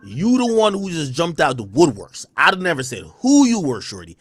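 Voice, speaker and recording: A man's voice: on the high side, wildly swooping pitch, moderate pace, slightly rough, no echo. A livestreamer man ranting defensively, rambling in heavy slang. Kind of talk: livestream rant.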